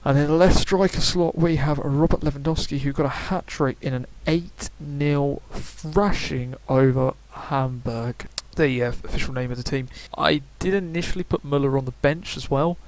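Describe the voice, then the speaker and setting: A man's voice: a little high, moderate pace, hardly any pauses, a little mellow, rough recording. A young man giving casual, rambling video game predictions, full of fillers and self-corrections. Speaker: gaming YouTuber.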